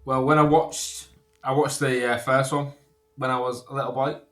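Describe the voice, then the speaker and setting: A man's voice: mid-range voice, level tone, normal speed, a bit bright. A man with a northern English accent chatting casually and jokily as a podcast guest, recorded in an echoey room. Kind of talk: casual chat.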